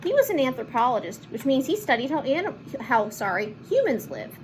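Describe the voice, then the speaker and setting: A woman reading a picture book aloud to children in an expressive, animated manner, with chatty asides and simple explanations. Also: sits high, fairly quick, a little hiss or hum. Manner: animated, acts out lines and explains words to children. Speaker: children's read-aloud host.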